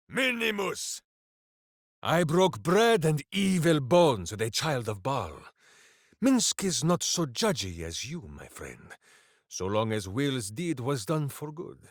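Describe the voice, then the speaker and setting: A man's voice: vocal fry, fairly bright tone, no echo, heavily acted. Big theatrical warrior guy in a game, swoops way up and down, dry studio sound. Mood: jovial. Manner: booming and theatrical, refers to himself in third person. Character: boisterous heroic male ranger warrior, hamster-loving. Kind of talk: video game voice lines.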